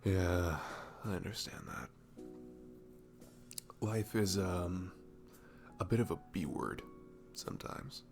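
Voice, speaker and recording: Casting: male actor as a goofy, comforting barista, low slow voice, faint Southern US accent, halting soft ASMR delivery, music behind. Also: vocal fry, full character voice. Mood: gentle, consoling. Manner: soft, halting, chuckling, drifting into near-whispers. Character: cute, goofy, comforting young male barista, sweet and self-deprecating. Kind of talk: intimate ASMR roleplay to the listener.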